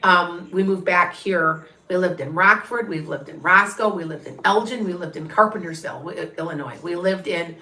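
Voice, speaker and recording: A woman's voice: lowish voice, normal speed, hardly any pauses, sharp tone, echoey room, poor audio. A middle-aged woman from Wisconsin with an Upper Midwest accent, a psychic medium rambling through a live reading and chatting with her viewers. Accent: Upper Midwest, northwest of Chicago. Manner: rambling, chatty, many restarts and asides.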